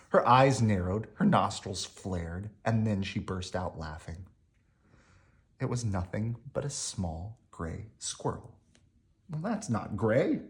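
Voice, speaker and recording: Man, low voice, swooping lively intonation, emphatic phrasing, children's story read-aloud. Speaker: storybook reader.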